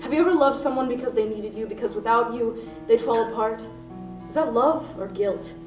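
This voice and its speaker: Woman playing desperate, unhinged female captor in a radio play; higher voice, melodramatic, roomy distant recording. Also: a little fast, over music, lightly acted. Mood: haunted, brooding. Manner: melodramatic, swinging between hushed recollection and frantic screaming. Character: unhinged, desperate female hostage-taker confessing her crimes. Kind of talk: student radio play acting, dramatic monologue.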